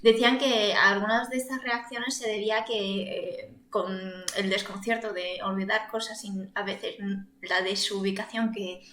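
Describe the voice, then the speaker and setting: A woman's voice: mid-range voice, steady tone, moderate pace, few pauses, fairly bright tone. A woman co-hosting a podcast, speaking hesitantly with fillers and trailing phrases. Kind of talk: casual chat. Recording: roomy, echoey sound.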